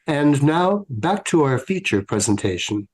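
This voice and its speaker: A man's voice: mid-range voice, sing-song, unhurried. A man announcing and narrating in a scripted, old-time radio show style.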